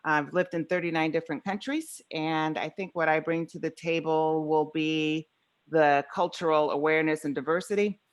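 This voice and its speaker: A mature woman of fifty or more with a low-pitched voice introduces herself at an online meeting. She speaks over a video call as a professor joining a task force. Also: fairly even intonation, normal speed. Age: 50s or older.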